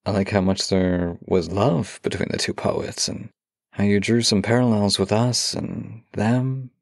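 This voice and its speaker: A man in a close-miked audio roleplay, speaking softly and affectionately with a teasing edge, as a voice actor addressing a loved one. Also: lowish voice, fairly even intonation, unhurried, vocal fry, no room sound.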